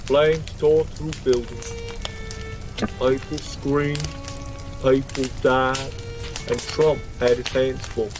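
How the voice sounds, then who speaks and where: A man's voice: mid-range voice, steady tone, takes it slowly, long pauses, close to an everyday voice, poor audio. A man narrating a parody game story in a dramatic, scripted storytelling style. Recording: loud music under the voice.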